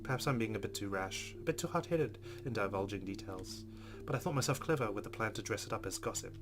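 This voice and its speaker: A man hosting a let's play, reading the game's narration aloud expressively with quieter casual asides. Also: fairly low pitch, expressive, fast talker. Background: guitar game music.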